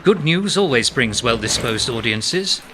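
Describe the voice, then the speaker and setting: An actor playing an earnest, poetic English playwright and former army captain of the 1920s in a radio play, speaking in a lyrical, reflective, articulate way. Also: male voice, mid-range voice, lively intonation, unhurried, sharp tone, slight background noise. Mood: wry.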